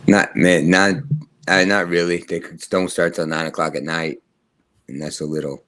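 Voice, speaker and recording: A hoarse man co-hosting a casual chat, easygoing and joking, with his voice nearly gone. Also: deep voice, flat delivery, moderate pace, a bit bright, slight background noise. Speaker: co-host, athletic trainer.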